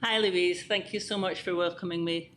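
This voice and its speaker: A woman's voice: lowish voice, steady tone, normal speed, slight background noise. A Scottish woman in her fifties, a podcast guest recalling her childhood in a reflective, detailed, conversational way over a remote call. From Scotland. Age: 50s. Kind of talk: conversational interview storytelling.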